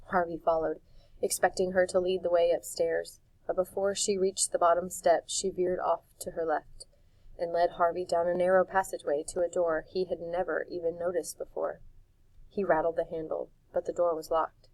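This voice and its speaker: A woman reading a storybook chapter aloud in a calm, measured way. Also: lowish voice, no echo, low-quality recording. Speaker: audiobook reader. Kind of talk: storybook narration.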